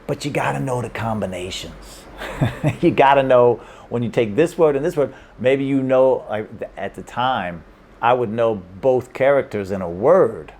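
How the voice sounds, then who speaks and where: A man's voice: medium pitch, lively intonation, unhurried, a little mellow, slight background noise. A man giving casual language-learning advice to camera, rambling and repeating himself with restarts and small laughs. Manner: rambling and repetitive, with many restarts and chuckles. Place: room in Hong Kong.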